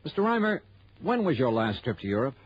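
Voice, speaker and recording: A man's voice: mid-range voice, sing-song, fairly quick, slight background noise, lightly acted. An actor playing a tough, sardonic male insurance investigator in a 1960s radio detective drama, bluntly accusing. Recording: muffled old 1960s radio recording.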